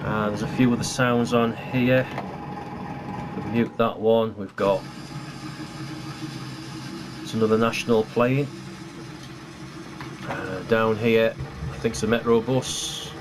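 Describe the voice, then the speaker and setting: A man's voice: fairly low pitch, steady tone, slow pace, pauses often. A British man casually narrating a hands-on hobby demonstration as he presents his model railway build. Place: indoor hobby workbench. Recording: noisy room recording with hum.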